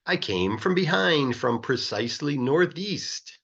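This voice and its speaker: Man, children's storybook narration, very lively swooping pitch, sing-song rhyming delivery, drawn-out emphasis, slightly dull lo-fi recording. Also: gravelly, breathy edge. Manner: expressive, sing-song rhythm, stretching words for emphasis. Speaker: English teacher reading a children's story aloud.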